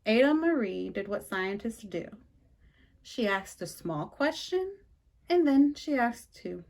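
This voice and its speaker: Woman, fairly high voice, unhurried storytime reader, sing-song rhyming delivery. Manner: lively sing-song reading with slow emphatic pauses. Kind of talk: reading a rhyming picture book aloud.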